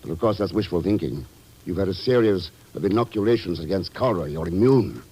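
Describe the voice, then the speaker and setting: An actor playing a cool, commanding secret agent in a 1940s radio spy drama, speaking in a clipped, wry way. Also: male voice, sits low, expressive, brisk, warm tone, breathy edge, muffled recording.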